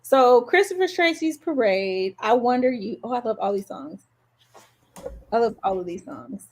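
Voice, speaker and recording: A woman's voice: fairly high pitch, expressive, normal speed. A woman co-hosting a podcast, talking casually about music in a conversational way. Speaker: podcast co-host.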